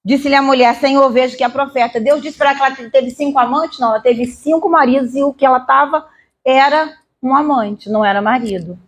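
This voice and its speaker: A woman with a notably high voice and a Brazilian Portuguese accent preaches in an informal teaching style. She is emphatic and didactic, repeating phrases for weight and checking that her listeners follow. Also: fairly quick, breathy edge. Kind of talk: sermon, informal Bible teaching.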